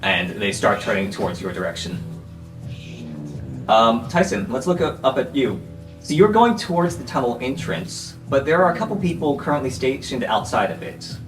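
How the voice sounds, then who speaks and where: A man's voice: medium pitch, big pitch swings, moderate pace. A man narrating a roleplaying game as game master in a conversational, descriptive way. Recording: roomy echo, music under voice. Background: background music.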